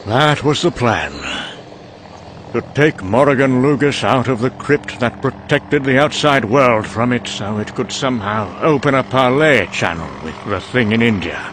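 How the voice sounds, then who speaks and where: Casting: male actor as vain, unhinged scientist, rambling and excitable, very lively pitch swings, motion-comic drama, noisy background. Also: a little slow. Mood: smug, reflective. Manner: rambling, excitable, self-congratulating. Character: mad, vain, rambling British male scientist narrating doom.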